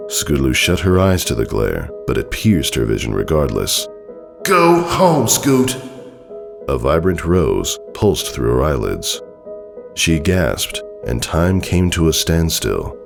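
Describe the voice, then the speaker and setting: An extremely deep-voiced man reads a story in a dramatic scripted style. His delivery swings expressively in pitch and has a creaky, gravelly edge. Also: very animated, a little slow, music in the background. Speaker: fan fiction narrator.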